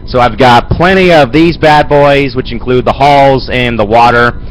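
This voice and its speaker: A young man with a head cold and a raspy voice, hosting an amateur sports show and rambling with lots of fillers. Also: mid-range voice, normal speed, slight background noise, poor audio. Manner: rambling, fills with "man" and "Chris". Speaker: host. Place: home studio.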